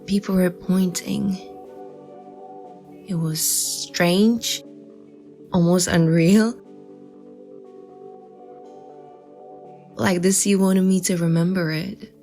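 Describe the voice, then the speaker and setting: Actress as wistful female lead, bedtime audio drama. Unhurried, fry-edged, hesitant, soft music underneath. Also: fairly low pitch, fairly even intonation. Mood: hushed wonder. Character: wistful woman recalling a mysterious seaside memory. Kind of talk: intimate audio drama acting.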